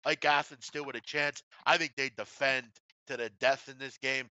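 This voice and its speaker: Bright-voiced man talking sports betting. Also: medium pitch, steady tone, normal speed, clear, resonant tone, no echo, muffled recording. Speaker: host of a sports betting show. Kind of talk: casual betting tips discussion.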